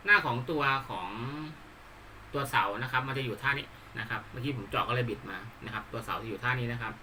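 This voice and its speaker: A man giving an unscripted hands-on tutorial, explaining politely in a halting, repetitive way. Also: medium pitch, bright, forceful tone, slight background noise. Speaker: hobbyist presenter demonstrating a DIY build.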